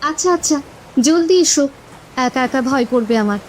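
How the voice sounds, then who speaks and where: Actress as kindly village wife, cartoon horror, slightly high voice, timid yet persuasive, faint background noise. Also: female voice, animated, normal speed, poor audio. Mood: nervous. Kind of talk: Bengali horror cartoon dubbing.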